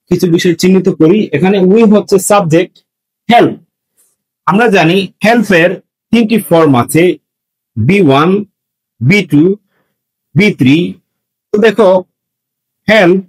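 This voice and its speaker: A man's voice: high-pitched, rough recording. A man teaching students in an explanatory, step-by-step manner, repeating key points as he goes. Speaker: English grammar teacher. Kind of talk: explanatory lesson addressed to students.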